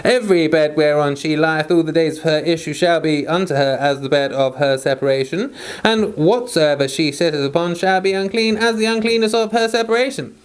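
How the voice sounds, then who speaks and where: A man's voice: on the high side, fairly quick, clear, resonant tone. A man who hosts and reads aloud, moving between casual chat and scripture. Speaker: host and scripture reader.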